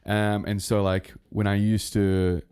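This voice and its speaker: A man's voice: lowish voice, level tone, measured pace, darkish tone, clear, resonant tone. A young man, a guest on a casual podcast chat, talking in a rambling, laid-back way. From Australia. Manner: rambling, laid-back, full of fillers and restarts. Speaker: guest, music producer.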